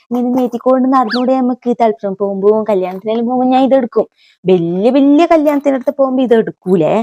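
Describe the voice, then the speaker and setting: A woman's voice: medium pitch, warm tone, a little hiss or hum, close to an everyday voice. Woman playing a chatty Kannur village auntie vlogger. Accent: Kannur, north Kerala. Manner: folksy, rambling, addresses viewers fondly as children. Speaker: comic vlogger character. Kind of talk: comic mock vlog to camera.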